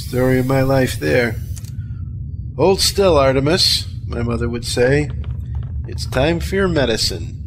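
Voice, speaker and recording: Sci-fi drama actor as sick male survivor, mid-pitched, unhurried, wry and worn down, noisy room tone. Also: expressive. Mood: wry, resigned. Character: weary, sardonic sick plague survivor, a man. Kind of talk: audio drama acting. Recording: clearly noisy recording with background ambience.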